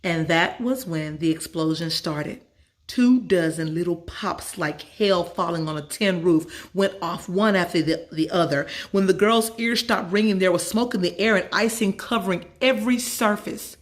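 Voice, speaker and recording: A woman's voice: lowish voice, moderate pace. A woman storyteller narrating a children's story from a script.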